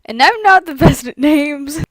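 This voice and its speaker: A woman's voice: high voice, animated, unhurried, a bit bright. A woman chatting casually and a little hesitantly as she hosts a reading session. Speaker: fan fiction reader and gaming channel host. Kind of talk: casual intro chat.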